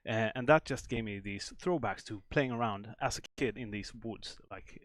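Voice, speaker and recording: A man from Sweden, a game developer explaining at length in a stream interview, with many pauses and restarts. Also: on the low side, dry recording.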